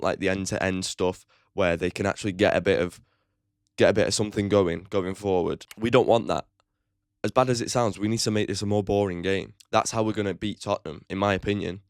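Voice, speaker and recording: Low, gravelly-voiced man. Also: dry recording, clean studio sound. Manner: opinionated and blunt, swears casually; chatty, with frequent "like" fillers. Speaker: football fan channel host. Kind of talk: football match preview vlog.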